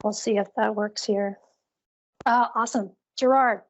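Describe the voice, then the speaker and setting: A woman's voice: medium pitch, steady tone, unhurried. A woman co-hosting an online call, presenting a live screen-share demo in a casual, upbeat, chatty way. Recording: dull, lo-fi online call audio.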